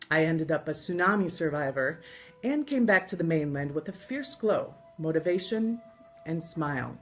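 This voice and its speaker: An American woman reading her own scripted travel memoir aloud in an expressive storytelling manner, with deliberate pauses. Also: sits low. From United States. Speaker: author reading from own book.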